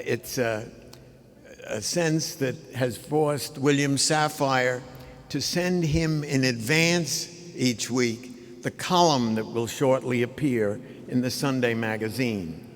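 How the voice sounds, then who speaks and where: An older man giving an anecdotal tribute speech at a ceremony, in a measured, halting way with pauses. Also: medium pitch. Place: large indoor ceremonial hall. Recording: reverberant large hall.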